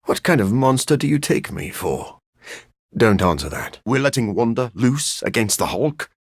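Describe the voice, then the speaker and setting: An actor playing a sophisticated, bookish vampire scientist in a video game, speaking in an articulate, formal way with dry wit and a raspy edge. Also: male voice, mid-range voice, lively intonation, moderate pace, dry recording. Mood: wry. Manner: articulate, formal, dryly witty. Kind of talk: video game character voice lines.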